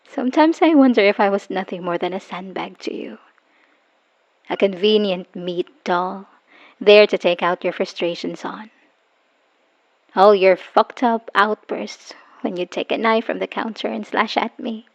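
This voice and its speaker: A slightly muffled, lo-fi recording of an actress playing a woman worn down by an abusive, obsessive partner. Her voice sits at an average pitch for a woman. She delivers a dramatic audio roleplay monologue, wounded and bitter, with emotional, halting phrasing. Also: vocal fry. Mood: bitter, hurt. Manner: emotional, halting, sighing, dropping into whispers. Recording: dull lo-fi voice recording.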